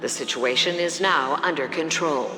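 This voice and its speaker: A woman's voice: deep voice, normal speed, close to an everyday voice. A woman playing a formal, impassive news broadcaster reading a scripted bulletin in a video game. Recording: echoing reverb, music behind.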